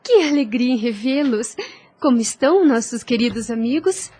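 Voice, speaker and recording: An actress playing a devout, gentle young daughter in a radio drama, speaking earnestly and formally. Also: female voice, fairly high pitch, expressive. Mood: polite, pleased. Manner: earnest, formal, measured speech.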